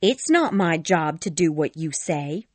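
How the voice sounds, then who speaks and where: A woman's voice: sits low, expressive, measured pace, darkish tone, slightly rough, no echo, exaggerated voice. A woman playing a plain-spoken, unafraid house servant in an audiobook story, talking in a firm, matter-of-fact way. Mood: firm, rebuking. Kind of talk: dramatised audiobook dialogue.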